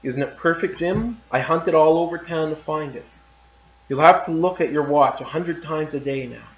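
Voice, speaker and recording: Man reading tender young wife, earnest pleading, roomy dull sound, little lift in pitch. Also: normal speed, close to an everyday voice. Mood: eager. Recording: roomy, slightly dull recording.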